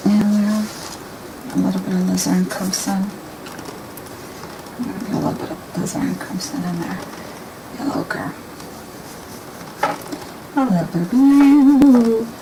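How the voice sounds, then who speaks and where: A woman talking casually and thinking aloud as she paints, with a soft, rambling manner. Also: medium pitch, unhurried, long pauses, background noise. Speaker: painting instructor. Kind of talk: casual painting demo talk.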